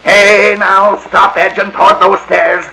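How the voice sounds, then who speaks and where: An actor playing a cantankerous, suspicious old boarding-house keeper with folksy frontier talk in a 1940s radio western. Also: male voice, sits high, level tone, takes it slowly, gravelly, heavily acted. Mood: gruff, grudging. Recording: noisy old radio transcription disc.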